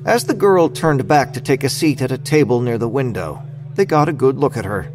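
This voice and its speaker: A man's voice: mid-range voice, animated, fairly quick, soft background music. A man reading scripted dramatic narration for an audio story.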